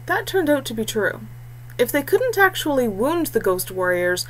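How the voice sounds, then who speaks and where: Lady reading a fantasy audiobook, mid pitched voice, little bit of background noise. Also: a bit bright, poor audio. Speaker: narrator. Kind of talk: scripted fantasy story narration.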